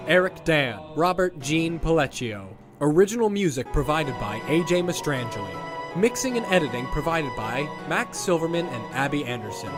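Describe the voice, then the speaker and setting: Guy reading end credits, kinda higher voice, guitar music under him. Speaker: credits announcer. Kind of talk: scripted narration.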